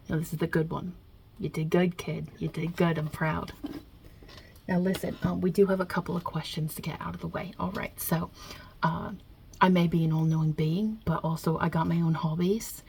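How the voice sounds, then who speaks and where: A woman's voice: on the low side, steady tone, heavily acted. A woman voicing a non-binary God as a brash, irreverent mob boss with a put-on Italian-American New York-New Jersey accent, wry and offhand, in an ASMR roleplay. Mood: businesslike, kindly. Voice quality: husky, breathy, hushed. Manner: wry, offhand, blunt, hesitant pauses, swearing.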